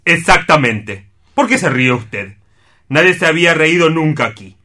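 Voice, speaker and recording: Solemn sanatorium orderly, radio play, forceful bright tone, plaintive, indignant, formal, gloomy. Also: male voice, a little high, animated, normal speed.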